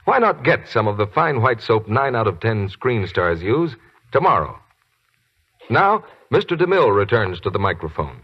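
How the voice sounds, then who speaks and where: An American man with a husky voice doing scripted 1940s radio announcing and advertising. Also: lowish voice, sing-song, normal speed. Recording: dull 1940s radio recording.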